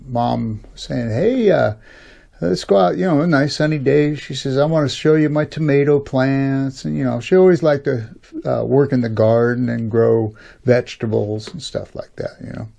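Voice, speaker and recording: A middle-aged American man with a gravelly voice, telling personal stories in a casual, folksy, halting way as a channel host. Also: medium pitch, steady tone, mellow. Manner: folksy, informal, halting delivery with frequent "you know". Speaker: YouTube storyteller and channel host. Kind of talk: casual rambling personal storytelling.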